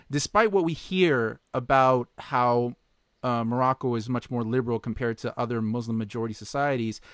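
A man with a medium-pitched voice hosts a podcast interview. He talks casually and conversationally as he puts questions to his guest. The sound is dry, with no room echo. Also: a bit bright.

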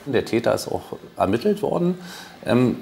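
A man's voice: medium pitch, very animated, normal speed, creaky, warm tone. A man giving an interview as a police investigator, in formal official wording with some hesitation. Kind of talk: interview statement. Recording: faint ambient background noise.

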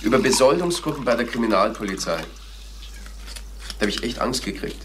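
An actor playing a rueful, dryly self-pitying young police detective in a radio crime play. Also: male voice, medium pitch, animated, fairly quick, noisy background, natural voice. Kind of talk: German radio crime drama acting.

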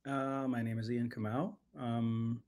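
A man in his thirties, from Toronto, answering interview questions in a thoughtful, hesitant way with lots of pauses and ums over a video call. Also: mid-range voice, flat delivery, darkish tone. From Toronto, Canada. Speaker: guest artist. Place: home room with guitars on a video call.

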